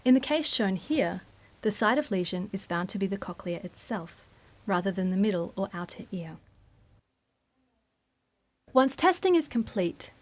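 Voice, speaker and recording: Formal female instructional narrator, medium pitch.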